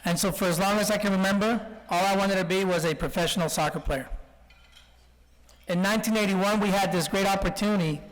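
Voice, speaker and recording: High-voiced man speaking in a reverberant hall. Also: steady tone, a little fast. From Colombia, then Texas from childhood. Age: 40s. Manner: joking, anecdotal storytelling. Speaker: guest speaker. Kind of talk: informal speech with self-deprecating humour. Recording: reverberant hall, speech over PA.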